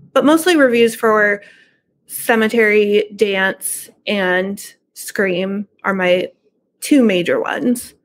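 A middle-aged woman talks in a casual interview style. Her delivery is informal and conversational at a medium pitch. She is at home on a video call. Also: a little hiss or hum. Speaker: podcast guest, book reviewer and horror entrepreneur.

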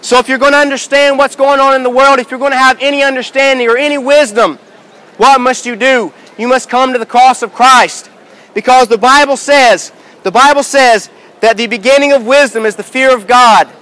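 A man in his thirties preaching outdoors in a busy city square, shouting with emphatic, repetitive rhetorical questions. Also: extremely high pitch, steady tone, fairly quick, low-quality recording. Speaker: street preacher. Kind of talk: open-air sermon. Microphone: far handheld camera, no mic.

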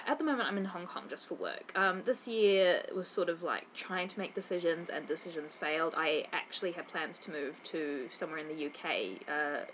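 A woman's voice: on the low side, fairly bright tone, slight background noise, poor audio. A young woman with a New Zealand accent, chatting casually to her webcam in her bedroom as she answers viewer questions, rambling with lots of ums and restarts. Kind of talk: casual chat. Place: bedroom, indoors. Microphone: webcam at desk.

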